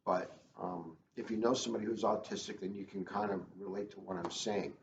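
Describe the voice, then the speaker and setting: Man, school officer, lowish voice, earnest, emphatic, casual talk, roomy echo, muffled sound. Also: steady tone, brisk, gravelly. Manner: earnest, emphatic, repeats phrases for stress. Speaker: police school resource officer. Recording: roomy, muffled, faintly noisy.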